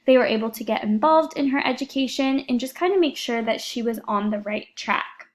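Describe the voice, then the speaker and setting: A woman's voice: on the high side, a little fast. A young woman, an education student, earnestly reading a prepared report for her class, with a few fillers.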